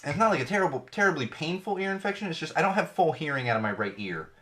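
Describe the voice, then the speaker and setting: A man's voice: fairly high pitch, animated, very fast, sharp tone. A man hosting his own podcast, chatting casually and ranting with sarcasm and swearing about tech and social media, his voice slightly off from an ear infection. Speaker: podcast host. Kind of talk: casual solo show talk.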